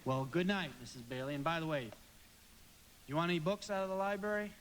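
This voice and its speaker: Brief: male actor playing stubborn young American suitor, high voice, hesitant and stammering, noisy live radio-play recording. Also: lively intonation, fairly quick, close to an everyday voice. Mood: evasive. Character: flustered, stubborn young man dodging courtship.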